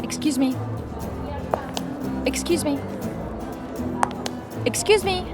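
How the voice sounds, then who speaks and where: Nervous young spy lady muttering to herself, hushed audio drama, loud music under it. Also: sits high, takes it slowly. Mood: polite, tentative. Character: anxious young woman infiltrator muttering to herself.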